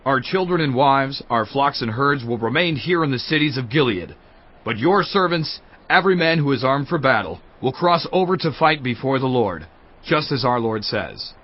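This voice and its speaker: Man doing husky humble tribesman asking for land, faint music, muffled sound. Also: medium pitch, lively intonation, moderate pace, natural voice. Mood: earnest. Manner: humble, pleading. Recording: dull lo-fi recording with music behind.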